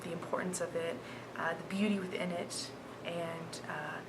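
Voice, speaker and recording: A young woman in her early twenties answers an interview question with some hesitation. Her voice sits a little low, with clear ambient noise around her. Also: little pitch movement, normal speed. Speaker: student interviewee.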